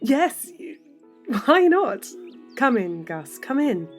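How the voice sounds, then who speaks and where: A woman's voice: sits high, sing-song, slow pace, faint music behind, exaggerated voice. A woman with a British accent playing an anxious, yearning middle-aged professional in an audio drama, with an emotional, hesitant, well-spoken delivery. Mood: flustered.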